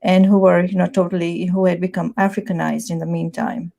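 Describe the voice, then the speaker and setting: A woman's voice: fairly low pitch, little pitch movement, brisk, creaky, mellow. A woman in her sixties from Tanzania, a publisher, chatting as an interview guest with many "you know"s and restarts. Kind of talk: conversational interview.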